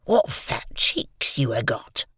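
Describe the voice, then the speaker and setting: A man voicing a hungry, threatening escaped convict, speaking in slow, growling, half-whispered threats. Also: a bit bright, dry recording, studio quality. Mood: menacing. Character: menacing ragged male convict, hungry and threatening.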